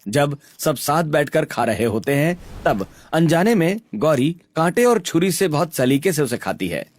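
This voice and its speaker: Animated male cartoon story narrator. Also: fairly high pitch, a little slow, dry recording.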